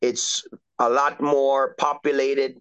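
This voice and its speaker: A middle-aged man from Trinidad and Tobago, a soccer coach talking as a guest in a relaxed, rambling way with lots of fillers. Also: mid-range voice, slow, clear, resonant tone. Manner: easygoing, rambling with many fillers. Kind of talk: casual interview chat. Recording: dull lo-fi recording.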